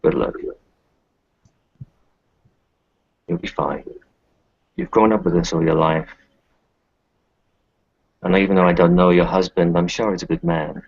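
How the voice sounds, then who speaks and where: Casting: man as kindly, world-weary doctor for improvised roleplay; deep, husky, measured delivery, dry muffled recording. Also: fairly even intonation, no echo, heavily acted. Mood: gentle, reassuring. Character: weary, kindly male kinfolk doctor who lost his children. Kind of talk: tabletop roleplay, improvised in character.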